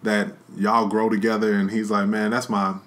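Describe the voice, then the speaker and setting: A man co-hosting a casual podcast with his wife, talking in a relaxed, slangy, earnest way about becoming a father. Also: fairly low pitch, normal speed. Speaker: podcast co-host, expecting father. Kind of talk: casual chat.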